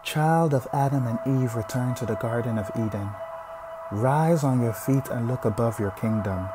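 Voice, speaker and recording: A man's voice: mid-range voice, moderate pace, mellow. A man giving a solemn spoken-word poetry recitation. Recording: music under the voice. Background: music.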